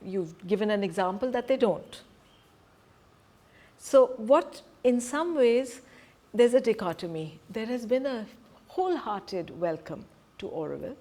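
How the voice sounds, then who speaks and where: A woman's voice: on the high side, expressive, measured pace, dark tone. A middle-aged woman from Punjab, a Hindi speaker, giving an earnest, emphatic talk to a group as presenter and teacher. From Punjab, India. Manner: earnest, emphatic, stresses key words.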